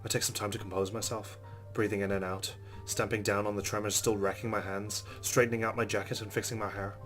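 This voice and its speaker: A man's voice: fairly low pitch, level tone, quick pace, fairly bright tone, soft background music. A man hosting a let's play, reading visual novel narration aloud and adding casual asides. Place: recorded voice-over with game music behind.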